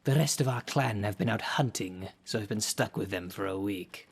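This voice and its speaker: A man acts out a haughty demon caretaker in an audio drama, husky and on the low side. He speaks formally and grandly to a visitor, with the dry sarcasm of a salesman of monsters. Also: normal speed. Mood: dry, businesslike. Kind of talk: scripted audio roleplay, addressing the listener.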